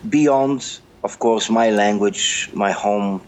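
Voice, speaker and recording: A middle-aged man with a Brazilian Portuguese accent, a journalist answering interview questions in a halting, earnest way, often repeating words as he searches. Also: fairly low pitch, slight background noise. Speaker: journalist guest.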